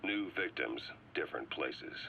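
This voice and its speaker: Low-voiced, dry interrogator on tape. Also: male voice, expressive, a little slow, creaky, exaggerated voice. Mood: somber, pointed. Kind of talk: dramatic video game voice acting. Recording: dull, faintly noisy tape recording.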